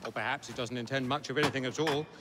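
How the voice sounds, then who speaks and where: An actor playing an indignant, pompous Victorian gentleman with a British accent in a game cutscene. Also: mid-range voice, steady tone, rapid-fire, close to an everyday voice. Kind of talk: dramatic video game cutscene acting. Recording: loud background noise.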